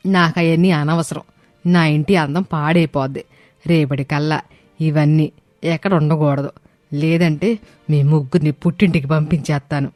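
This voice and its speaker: A woman's voice: low voice, fairly even intonation, moderate pace, long pauses, no echo, faint music behind, close to an everyday voice. Haughty scolding mother-in-law, acted by a woman, dry sound. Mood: threatening, grudging. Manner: imperious, sharp-tongued. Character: haughty, scolding rich older mother-in-law, quick to anger. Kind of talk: dramatized story dialogue.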